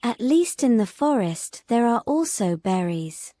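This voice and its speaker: A woman's voice: a little high, big pitch swings, measured pace, warm tone, dry recording, clean studio sound. A woman playing a timid, nervous young mermaid in a fantasy audio drama, anxious, wary and pleading. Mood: reluctant.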